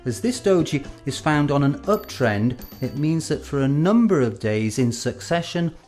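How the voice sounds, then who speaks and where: Measured male instructional presenter over music. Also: medium pitch, darkish tone. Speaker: presenter of an educational film. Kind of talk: scripted instructional narration. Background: background music.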